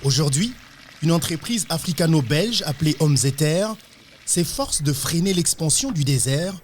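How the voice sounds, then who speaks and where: A man with a slightly high-pitched voice narrates a news report from a script. He speaks as the reporter, with faint ambient noise behind him. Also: lively intonation, moderate pace.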